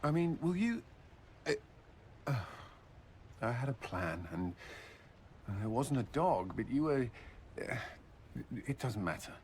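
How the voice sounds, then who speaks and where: An actor playing a devoted, soldierly commander in a video game cutscene, earnest and tender in manner. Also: male voice, medium pitch, big pitch swings, a little slow, pauses often, a little mellow, slight background noise. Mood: nervous, earnest.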